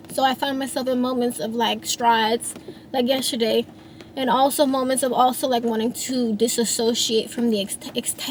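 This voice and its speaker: A woman's voice: a little high, slight background noise, poor audio. A woman in her thirties, a singer and songwriter, talking through her feelings in a rambling, reflective monologue with many restarts. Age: 30s. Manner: rambling, frequent restarts and fillers.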